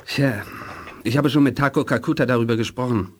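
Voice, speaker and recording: An actor playing a calm, earnest male psychic, measured and reflective, in a radio play. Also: mid-range voice, natural voice. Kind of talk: 1980s German radio play acting.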